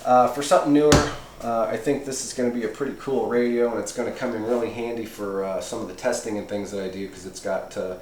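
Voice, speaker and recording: A man's voice: fairly low pitch, steady tone, quick pace, darkish tone. A middle-aged man giving a casual, rambling and enthusiastic unboxing review as a radio hobbyist. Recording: roomy echo, faint background noise.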